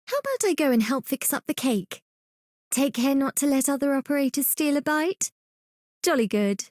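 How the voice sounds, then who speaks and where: A woman's voice: high-pitched, very animated, moderate pace, a little mellow, no room sound, clean studio sound. A woman voicing a prim, wry, genteel lady in a game, polite and proper. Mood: politely wry. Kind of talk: game character voice line.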